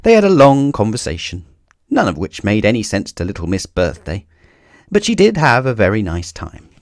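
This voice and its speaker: Low-voiced man reading with lively swings. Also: normal speed, dry recording, clean studio sound. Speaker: storybook reader for children. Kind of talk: expressive read-aloud narration.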